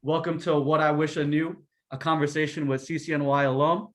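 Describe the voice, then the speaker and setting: A young man moderates an online alumni panel, talking his guests up in a loose, friendly way full of asides. His voice sits a little high for a man. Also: little pitch movement, fairly quick. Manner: chatty, informal, joking asides. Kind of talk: casual panel introductions.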